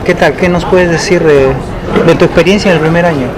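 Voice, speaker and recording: A man interviewing a guest in a casual, friendly way, with quick prompts. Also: on the high side, fairly even intonation. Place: outdoors in a city. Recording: outdoor street noise.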